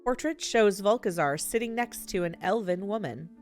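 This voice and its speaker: A woman's voice: medium pitch, lively intonation, moderate pace, faint music behind. A woman game streamer reading a visual novel aloud and reacting expressively in a live let's play.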